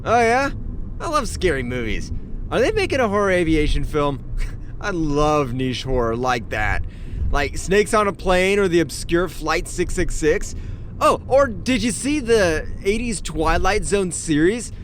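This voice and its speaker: A man playing a chatty, nosy, animated rideshare driver in a comic audio drama. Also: on the high side, measured pace. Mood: eager, geeky. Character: chatty, nosy rideshare driver who loves horror films. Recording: faint vehicle noise.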